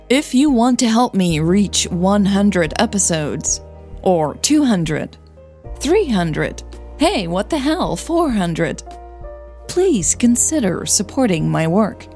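A woman's voice: mid-range voice, a little mellow. A woman narrating fiction and talking informally as the host of her podcast.

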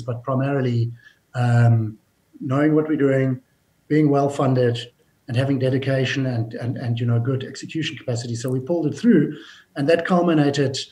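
A man's voice: medium pitch, a little mellow, rough recording. Businesslike man recounting his career. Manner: businesslike, restarts phrases often. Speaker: mining company executive, guest.

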